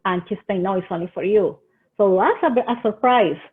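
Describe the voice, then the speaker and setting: A woman's voice: mid-range voice, normal speed. A woman from Colombia with a Colombian Spanish accent, giving earnest home-living tips in a webinar presentation. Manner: earnest, gives advice in short phrases with some hesitations. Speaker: extension agent presenter. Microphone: video call. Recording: tinny phone-like video call audio.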